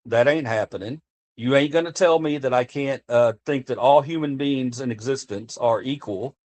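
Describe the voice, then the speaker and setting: A man's voice: medium pitch, moderate pace, a little mellow. An American man streaming live and chatting to his viewers in a casual, impassioned way, often restarting and repeating himself. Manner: earnest, impassioned, repeats himself while speaking off the cuff. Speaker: livestreamer talking to chat. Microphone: streaming desk mic.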